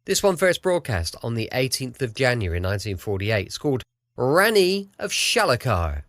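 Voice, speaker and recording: A man's voice: mid-range voice, very animated, normal speed, a bit bright, no echo. A British man chatting casually as he introduces his podcast. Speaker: podcast host. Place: at home.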